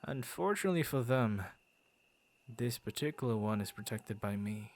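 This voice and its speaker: A man's voice: mid-range voice, lively intonation, moderate pace, lightly acted. A man voicing a friendly, bashful werewolf who guards his forest, stammering and playfully theatrical, in an ASMR boyfriend roleplay. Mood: amused, welcoming.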